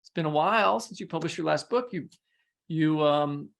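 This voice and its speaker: A man's voice: on the high side, moderate pace, gravelly. A man co-hosting a podcast interview in an informal, encouraging way, often circling toward his point. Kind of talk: casual interview chat.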